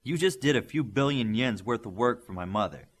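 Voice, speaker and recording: A man voicing a friendly but bossy teenage superheroine in an audiobook, brisk and casual in manner. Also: medium pitch, lively intonation, husky. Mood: generous, curious.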